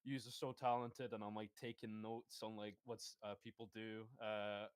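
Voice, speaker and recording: A man's voice: fairly low pitch, little pitch movement, moderate pace, clean tone, no room sound. Earnest gushing man, dry close sound. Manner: earnest, gushing, searching for words. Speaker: voice actor and stage actor. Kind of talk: casual livestream chat.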